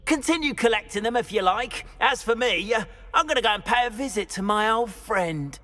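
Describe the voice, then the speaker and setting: A man playing an excitable, gossipy older man in a video game, theatrical and exclamatory, gleefully mocking as he talks. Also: sits high, brisk. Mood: gleeful reminiscing. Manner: theatrical, exclamatory, prone to laughing. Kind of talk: video game character acting.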